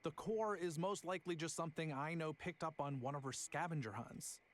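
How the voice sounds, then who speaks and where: A man's voice: fairly high pitch, fairly quick, lightly acted. A man voicing an easygoing young traveller in a video game, explaining in a wry, conversational way. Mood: hesitant, frank.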